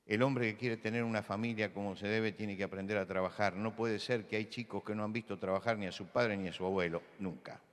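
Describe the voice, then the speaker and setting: A man from Buenos Aires with a Rioplatense accent, preaching a sermon full of anecdotes, who repeats key words and rises to loud insistence. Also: fairly low pitch, fairly even intonation, brisk, few pauses, long reverb tail. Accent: Rioplatense Spanish. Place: church hall.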